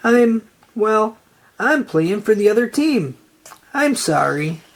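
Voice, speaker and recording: A storybook reader voicing an excitable, earnest, nervous young male cartoon sea sponge. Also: mid-range voice, slow, slight background noise, pitched above the performer's own voice. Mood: nervous, puzzled. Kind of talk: children's storybook character read-aloud.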